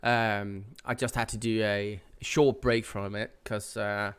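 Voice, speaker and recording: Male channel host, nasal with a cold, clear tone, loose rambling delivery full of fillers. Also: fairly low pitch, level tone, slow, a bit bright. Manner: rambling, with many fillers and restarts. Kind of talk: casual channel update.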